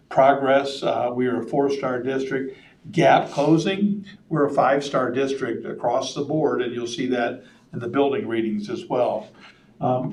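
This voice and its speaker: Slightly hoarse man in an echoey room. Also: medium pitch, a little mellow, slight background noise. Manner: conversational, with frequent ums and uhs. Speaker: school superintendent. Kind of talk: presenting a report at a board meeting. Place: public meeting room. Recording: roomy meeting-room audio from a distant mic.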